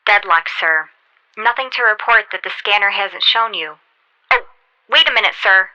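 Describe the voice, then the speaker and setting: A woman's voice: mid-range voice, expressive, moderate pace, bright, forceful tone, no echo, highs cut off, faint music behind. A woman playing an eager, brave and deferential spaceship officer in a sci-fi radio drama, reporting in a clipped, respectful military way. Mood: intent, reporting. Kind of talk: golden age sci-fi radio drama acting.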